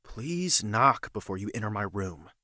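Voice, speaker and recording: A man playing a tall, courteous, devoted gentleman lover in a romantic audio drama, speaking formally and tenderly. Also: fairly low pitch, sing-song, a little slow, no room sound, clean studio sound, exaggerated voice. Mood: formal, reserved.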